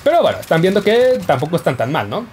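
A man's voice: a little high, sing-song, fast talker, darkish tone, a little hiss or hum. A young man giving casual, joking commentary over his gameplay. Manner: playful, jokey, yelps and laughs while playing. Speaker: gaming YouTuber.

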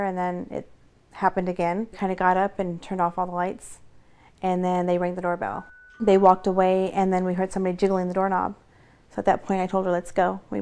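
Woman interviewee in her thirties, flat intonation, casual personal account. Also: mid-range voice, smooth. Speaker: interviewee recounting a break-in. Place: living room and rooms of a home.

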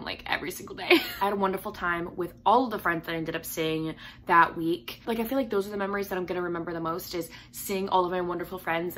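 A hoarse young woman in her twenties, chatting casually to camera about books at home, with lots of fillers and likes. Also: medium pitch, pushed and bright, a little hiss or hum. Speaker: book vlogger.

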